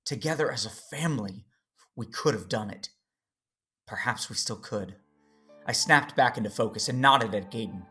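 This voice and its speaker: A man playing an earnest, worried Jedi father who tells his story in serious, deliberate audio drama narration. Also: on the low side, bright, forceful tone, faint music behind. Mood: resolved. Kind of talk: first-person audio drama narration and dialogue.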